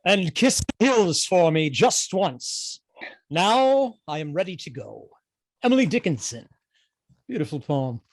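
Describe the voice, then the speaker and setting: Man acting a deadpan, jaded drinker, dry sound. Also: high-pitched, a little slow, dry recording, exaggerated voice. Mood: wistful. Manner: deadpan, sardonic. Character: jaded, hard-drinking janitor John, wryly fatalistic. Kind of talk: comedic script table read.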